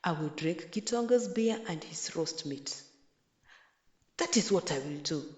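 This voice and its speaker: A woman voicing an eager, scheming old village man in a storybook, with slow, emphatic declarations. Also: low-pitched, lively intonation, darkish tone. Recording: echoey, roomy sound.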